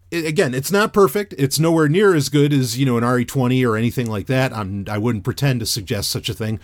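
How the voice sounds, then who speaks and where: Loud radio-trained man, dry sound. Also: mid-range voice, no room sound. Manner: chatty and animated, lots of fillers and restarts. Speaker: podcaster reviewing a microphone. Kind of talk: casual rambling product review.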